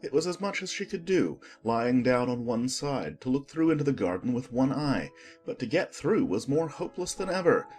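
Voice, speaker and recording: A man reading scripted storybook narration in an expressive storytelling manner. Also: mid-range voice, normal speed, soft background music.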